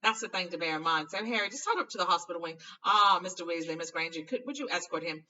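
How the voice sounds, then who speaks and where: A woman's voice: fairly low pitch, fast talker, pushed and bright, recorded from a distance, lo-fi sound. A woman voicing a bumbling, self-assured male wizard teacher from a storybook, blustering breezily and hesitantly. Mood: flustered bluster. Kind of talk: storybook character reading.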